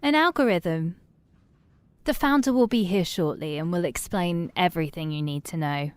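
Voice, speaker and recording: Actress as a courteous, officious receptionist in sci-fi audio drama; smooth higher voice, dry sound. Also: female voice, normal speed, no room sound, natural voice. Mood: matter-of-fact. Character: polite, officious female receptionist at secretive tech company. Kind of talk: full-cast audio drama acting.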